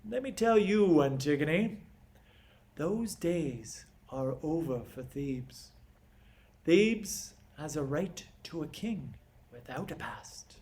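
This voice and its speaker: A proud, stern king, acted by a man in a stage tragedy, speaking in a fairly high male voice. He is scornful and theatrical, weighing his phrases slowly with dramatic pauses. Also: animated, a little mellow. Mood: stern, resolute. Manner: theatrical, deliberate, emphatic delivery with dramatic pauses. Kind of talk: classical stage monologue.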